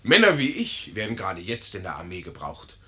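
An actor in a 1950s radio play as a boastful, dim-witted young cavalry lieutenant with a pompous manner. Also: male voice, fairly low pitch, huge pitch swings, fairly quick, bright, forceful tone, exaggerated voice. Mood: smugly boastful. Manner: pompous, self-important.

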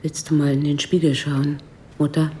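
An actress playing a worried grown daughter in a radio drama, speaking in a controlled, matter-of-fact way. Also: female voice, deep voice, little pitch movement, moderate pace, mellow, slight background noise, natural voice. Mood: restrained concern. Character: sober, worried grown daughter of old village woman.

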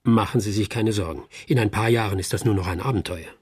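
An actor playing a wry, hard-boiled private detective in a radio play, blunt and sardonic. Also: male voice, on the low side, fast, slightly rough. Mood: sardonic, reassuring.

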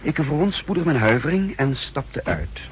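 A man playing a secret agent who recounts his mission in formal, measured words in a 1960s radio play. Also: a little high, big pitch swings. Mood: uneasy, suspenseful. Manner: formal, measured, literary diction. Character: uneasy male secret agent impersonating his double, recounting events. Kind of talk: 1960s radio play first-person narration. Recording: hissy, humming 1960s radio recording.

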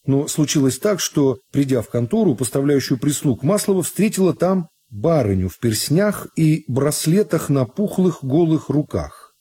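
A man reads literary narration for radio in a measured, deliberate storytelling manner. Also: a little high, very animated, dark tone, slightly rough. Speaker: narrator of a radio reading of a classic novel.

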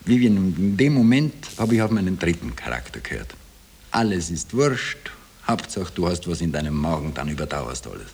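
Low-voiced Austrian ex-soldier confessing. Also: male voice, sing-song, normal speed, darkish tone. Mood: earnest recounting. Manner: colloquial dialect storytelling, broken into short phrases. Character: haunted Austrian ex-corporal confessing his wartime imposture. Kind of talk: 1960s radio drama monologue. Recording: hissy old radio tape.

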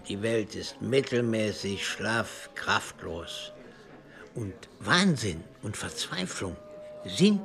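Slow, scornful anarchist professor. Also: male voice, lowish voice, vocal fry, sharp tone, full character voice. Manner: scornful, declamatory, drawn-out words with hissed emphasis. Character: contemptuous anarchist professor, male, bitter zealot preaching destruction. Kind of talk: radio play acting.

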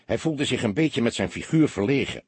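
A man's voice: medium pitch, animated, a little fast, husky, dry recording. A man reading a crime story aloud as an audiobook narrator, in a dramatic scripted style.

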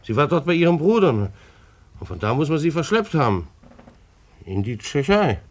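A man's voice: fairly high pitch, big pitch swings, normal speed. A man acting in a German radio drama as an agitated, self-justifying bailiff, stammering and repeating himself as he pleads. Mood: insistent, agitated.

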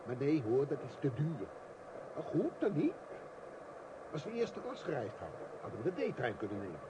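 A querulous, henpecked husband in a radio drama. He whines and blusters in a fairly high voice, full of self-pity. Clear noise runs behind the old recording. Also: wildly swooping pitch, moderate pace. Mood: grumbling. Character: grumbling, self-pitying small shopkeeper, henpecked husband and father. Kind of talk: radio play acting.

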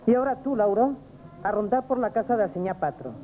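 A woman's voice: a little high, fast, mellow, faint music behind, lightly acted. An actress with a Spanish accent playing a scheming, anxious, domineering working-class Madrid woman in a radio drama. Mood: uneasy, conspiring. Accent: Spanish, from Spain. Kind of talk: 1970s Spanish radio theatre acting. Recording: noisy old radio broadcast.

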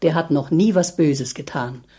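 Deep, mellow village landlady. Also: normal speed, husky. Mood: protective, insistent. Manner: homely, emphatic. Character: plain-spoken, protective village widow and landlady. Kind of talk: radio play acting.

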